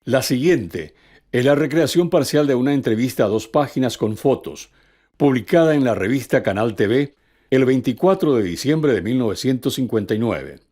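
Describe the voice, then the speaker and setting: A man's voice: mid-range voice, animated, normal speed, darkish tone. A man reads scripted documentary narration as the narrator.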